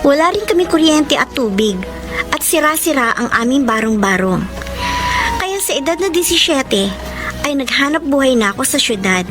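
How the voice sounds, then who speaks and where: A sweet young heroine from a poor family in a radio drama. Her voice is high and girlish, very animated, with music behind it. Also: normal speed. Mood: earnest, sorrowful. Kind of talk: radio drama acting and letter narration.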